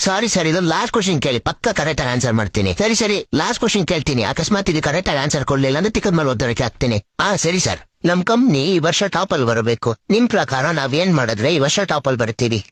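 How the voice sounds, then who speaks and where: A man's voice: a little high, sing-song, very fast, hardly any pauses, dry recording. A man voicing brash, exasperated young men in cartoon comedy skits. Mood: bickering. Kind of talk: animated comedy skit dialogue.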